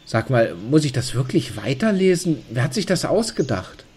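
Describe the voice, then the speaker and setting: A man's voice: mid-range voice, moderate pace, a little hiss or hum, close to an everyday voice. A man playing a reluctant, irreverent narrator reading a mock storybook tale. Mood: mock-solemn, flippant. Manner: animated, irreverent.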